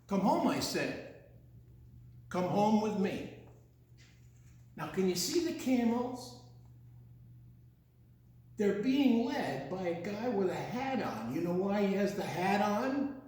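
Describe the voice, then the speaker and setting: In a strongly reverberant room, an older man tells a children's story at an unhurried pace. His voice sits high for a man. He draws words out theatrically in a singsong rhythm and breaks off for playful questions to his listeners. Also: very animated, darkish tone. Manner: theatrical, singsong, drawn-out delivery with teasing questions. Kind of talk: read-aloud picture-book story with playful asides to young listeners.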